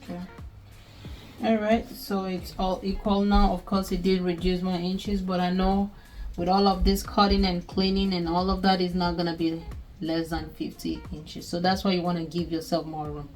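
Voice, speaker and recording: A woman's voice: lowish voice, level tone, normal speed, darkish tone, soft background music. A woman in her thirties with a Nigerian accent, casually talking through a sewing project step by step and thinking aloud as she works. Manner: chatty, thinking aloud while working. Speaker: sewing tutorial host. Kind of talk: casual step-by-step crafting tutorial.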